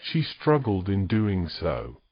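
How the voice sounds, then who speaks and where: A man's voice: sits low, wildly swooping pitch, slow, lo-fi sound. A man reading scripted fantasy romance narration as a storyteller.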